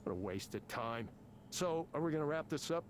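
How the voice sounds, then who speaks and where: A man's voice: a little high, lively intonation, brisk, a little hiss or hum, natural voice. An actor playing an angry, self-important tech programmer in his fifties for a video game, ranting with sarcasm and swearing. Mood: bitter, exasperated. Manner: combative, sarcastic, profane ranting. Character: angry, embittered, self-important fifty-something male tech company programmer. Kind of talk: naturalistic video game voice acting.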